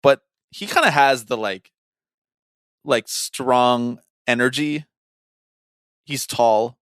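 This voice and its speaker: A man's voice: medium pitch, slow pace, a bit bright, smooth, dry recording. A man co-hosting a podcast in casual banter, with a teasing, opinionated way of talking. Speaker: podcast co-host.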